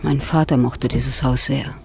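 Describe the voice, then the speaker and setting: A woman playing a troubled, self-reliant middle-aged actress in a radio drama, expressive and naturalistic with halting delivery. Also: extremely low pitch, creaky, noisy background, heavily acted. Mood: subdued, determined. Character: troubled actress Ellie, haunted by family loss, stubbornly self-reliant. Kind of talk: German radio play acting.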